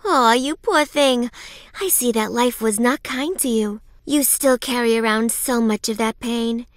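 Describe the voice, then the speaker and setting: An actress playing a perky but sinister reaper nurse in a video game, sugary and polite with menace underneath. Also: female voice, a little high, measured pace, no room sound, clean studio sound, natural voice. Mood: sweetly professional, menacing. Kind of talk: theatrical video game character acting.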